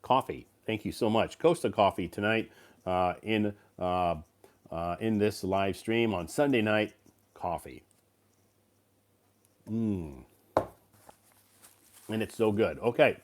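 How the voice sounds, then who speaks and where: A man's voice: lowish voice, takes it slowly, long pauses, a little hiss or hum. A man hosting a casual live stream, recovering from a cold and rambling with many fillers and restarts. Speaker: live stream host and English teacher.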